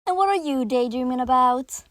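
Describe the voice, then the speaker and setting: A man voicing a playful young woman who teases her friend, in a bouncy, giggly falsetto. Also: lively intonation, fairly quick, fairly bright tone. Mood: teasing.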